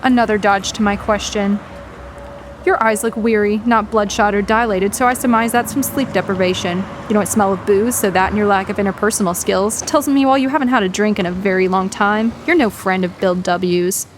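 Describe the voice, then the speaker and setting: A woman playing a sharp, defiant young researcher in a horror audio drama, speaking formally, directly and challengingly. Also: mid-range voice, fairly even intonation, a little fast, slight background noise, lightly acted. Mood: accusing, analytical.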